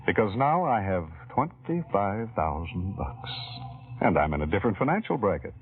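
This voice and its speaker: An actor playing an ambitious, lovestruck male employee in an old radio drama, speaking earnestly with halting, wry turns. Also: lowish voice, sing-song, music in the background. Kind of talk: 1940s radio acting. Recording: tinny old radio broadcast.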